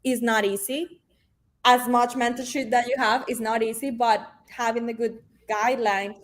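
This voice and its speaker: A woman's voice: on the high side, steady tone, normal speed. A woman in her thirties with a Venezuelan Spanish accent, a native Spanish speaker, talking as a guest in a casual, upbeat interview, with many "honestly" and "um" fillers. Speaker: guest, realtor.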